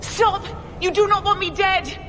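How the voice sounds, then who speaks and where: An actress playing a haughty, desperate mage villain in a video game, imperious and urgent. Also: female voice, very high-pitched, a little slow, music in the background. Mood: desperate, commanding.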